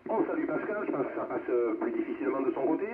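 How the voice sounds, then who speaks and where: A man's voice: medium pitch, animated, fast talker. A man moderating an amateur radio round-table in speech, heard over the air. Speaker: amateur radio net host. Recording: noisy, echoing, narrow-band radio reception.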